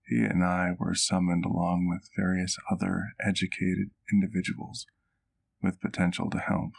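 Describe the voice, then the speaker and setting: Man acting a low, creaky, eloquent vampire doctor. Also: monotone, full character voice. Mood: reflective. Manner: formal, literary, measured storytelling. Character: old vampire doctor, doting, eloquent, wistful storyteller. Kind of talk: ASMR roleplay.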